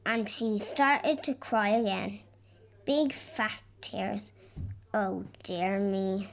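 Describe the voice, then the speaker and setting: A woman reading a children's story aloud in an expressive, deliberate storytelling manner. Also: medium pitch, creaky. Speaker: storybook reader. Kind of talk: scripted narration of a children's story.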